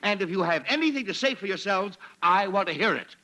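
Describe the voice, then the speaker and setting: A man's voice: high-pitched, animated, a little fast, pushed and bright. An actor playing an exasperated middle-aged suburban father in a 1940s radio sitcom, sputtering and repeating himself. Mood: scolding. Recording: noisy old-time radio recording.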